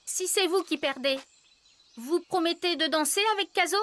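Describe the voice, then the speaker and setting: Tiny brave cartoon animal girl, super high bright voice, eager, bit of music under it. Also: normal speed, a little hiss or hum. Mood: indignant. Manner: bold, eager, forthright. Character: plucky, small young female cartoon animal. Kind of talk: cartoon dub acting. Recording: music behind the voice.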